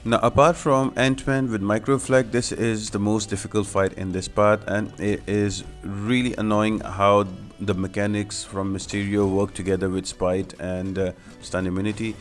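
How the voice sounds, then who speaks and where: A man's voice: on the low side, little pitch movement, moderate pace, few pauses. A man narrating a video game guide, explaining tactics step by step in an instructional way. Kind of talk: gameplay walkthrough commentary. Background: background music.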